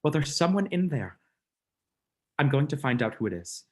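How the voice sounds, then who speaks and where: A student actor playing an earnest, protective, suspicious gentleman in a melodramatic vintage radio mystery. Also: a little high, sing-song, normal speed. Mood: tense, wary.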